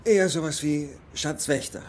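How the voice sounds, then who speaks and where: An actor in a German film dub playing an earnest, determined treasure hunter with a measured, serious manner. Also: male voice, on the high side, a little hiss or hum, natural voice. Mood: restrained. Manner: measured, serious, hesitant when explaining.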